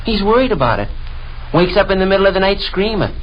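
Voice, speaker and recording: Vintage radio comedy: earnest, gossipy American lad, highish voice, muffled hissy archive sound. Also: male voice, very animated, fast talker. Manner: earnest storytelling. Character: Rush, chatty, earnest adolescent adopted son. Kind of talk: 1930s radio comedy acting. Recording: dull, noisy 1930s radio recording.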